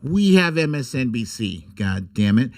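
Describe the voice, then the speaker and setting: A man hosting a comic political livestream, speaking with sarcastic, irreverent bite and theatrical, drawn-out emphasis. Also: mid-range voice, very animated, darkish tone. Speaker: host of a political humour livestream. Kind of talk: comic political commentary and mock storytime reading.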